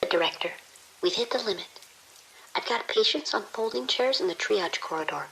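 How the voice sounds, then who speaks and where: A woman's voice: medium pitch, animated, normal speed, vocal fry, fairly bright tone, husky, exaggerated voice, poor audio. A woman playing an overwhelmed hospital nurse in an audio drama, reporting in a clipped, urgent way. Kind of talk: scripted audio drama dialogue.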